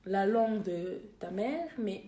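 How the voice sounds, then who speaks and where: A woman's voice: medium pitch, animated, slow, warm tone. A young woman, a guest talking casually and hesitantly, with many fillers and restarts. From Belgium. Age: young adult. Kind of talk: casual interview conversation. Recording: echoey room.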